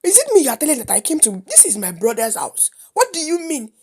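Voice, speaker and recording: A woman's voice: a little high, wildly swooping pitch, moderate pace, darkish tone, pitched above the performer's own voice. A woman voicing an angry, mocking drunken uncle in a storytelling performance, scolding with indignant questions.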